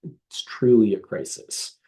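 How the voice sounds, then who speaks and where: A hoarse man giving a webinar talk as staff of a trail association, earnest, with frequent ums and uhs. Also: slow pace, dark tone. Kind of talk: webinar presentation. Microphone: video call.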